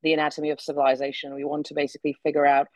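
Young Czech-born woman, British English accent, fluent chatty podcast guest, flat even delivery, dry recording. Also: low voice, no echo. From Czech Republic. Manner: quick, chatty, with many "kind of" and "like" fillers and frequent laughs. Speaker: guest, researcher. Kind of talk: casual interview chat. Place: remote call from home.

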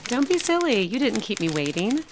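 An actress playing a courteous, friendly secretary making a call in an audio drama. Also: female voice, on the high side, sing-song, moderate pace, background noise. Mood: polite, friendly.

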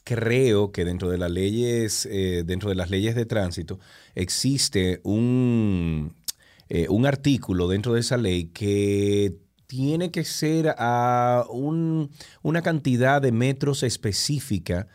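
A man's voice: lowish voice, slow, a little mellow. A man with a Dominican accent co-hosting a radio show, commenting conversationally. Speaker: radio co-host.

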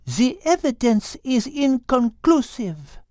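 A man voicing a calm, wise female cat who reasons carefully and drops French words. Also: very high-pitched, takes it slowly, no echo, clean studio sound, voice pushed up, full character voice. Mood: reasoning.

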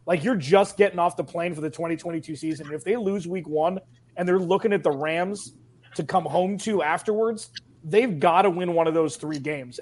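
A man's voice: on the high side, darkish tone. A man chatting casually about sports as a podcast guest, rambling with lots of "like" and "um".

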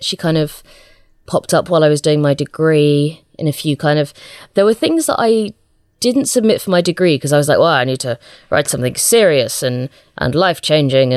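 A woman's voice: low voice, normal speed, a little mellow, dry recording, clean studio sound. A woman chatting casually as an interview guest, a writer with a hesitant, self-deprecating manner.

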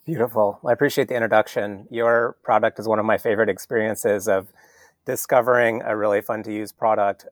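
A man's voice: fairly low pitch, no echo. A man hosting a podcast who introduces his guest earnestly and speaks with open, heartfelt praise. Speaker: podcast host.

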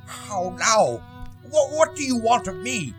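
A man's voice: high-pitched, big pitch swings, slow, music in the background, heavily acted. An actor playing a crotchety, skeptical but frightened old male miser in a radio play, blustering and sputtering. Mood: wary.

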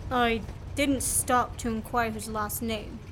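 A woman plays a wrongly accused man on the run in a radio play. The voice is fairly high, with dry, sardonic, clipped banter. There is some noise in the recording. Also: steady tone, slow, fairly bright tone. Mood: wry, indignant. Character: wry man on the run, falsely accused, quick-witted.

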